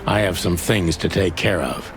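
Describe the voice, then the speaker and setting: A man playing a world-weary ageing rooster detective, wry and deadpan, narrating a noir game. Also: low-pitched, normal speed. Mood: weary, brooding. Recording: music behind the voice. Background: music.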